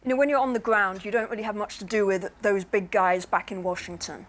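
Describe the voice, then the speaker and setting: Woman answering questions in a measured way. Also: mid-range voice, steady tone, slight background noise. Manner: reflective, plain-spoken, explanatory. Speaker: invited speaker answering audience questions.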